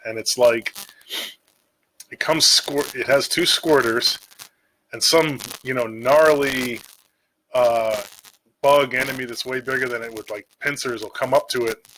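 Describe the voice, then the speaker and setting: Male vlogger, bright forceful tone, informal and digressive, slangy delivery, slight background noise and clicks. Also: medium pitch, steady tone, measured pace, long pauses, rough recording. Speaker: video creator. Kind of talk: casual rambling monologue about game monsters.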